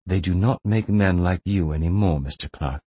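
A man's voice: low-pitched, normal speed, warm tone, slightly rough. A man voicing a young Russian interrogator in an audiobook thriller, scornful, frustrated and menacing, taunting with rhetorical flair. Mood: weary disbelief.

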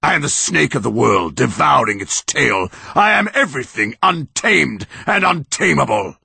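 A man voicing an ancient, towering tree monster that speaks in a slow, booming, declaiming way with a gravelly edge. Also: sing-song, pushed and bright, no room sound, exaggerated voice. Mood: roaring.

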